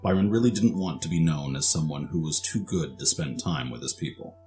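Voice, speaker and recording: A man's voice: very deep voice, fairly quick, dark tone, soft background music. A man narrating a scripted story for an audio drama.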